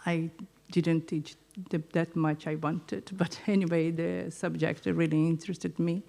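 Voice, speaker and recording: A Brazilian woman with a Portuguese-speaker's accent, an audience member speaking off the cuff in halting, searching phrases. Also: low-pitched, flat delivery, creaky, warm tone. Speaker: audience member, art teacher. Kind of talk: unscripted audience comment at a discussion event.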